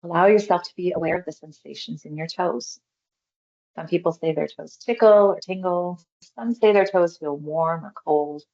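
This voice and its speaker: A woman leading a guided mindfulness exercise in a gentle, unhurried, reassuring manner, pausing between short phrases. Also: lowish voice, vocal fry, muffled recording. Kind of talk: guided meditation, body scan instruction.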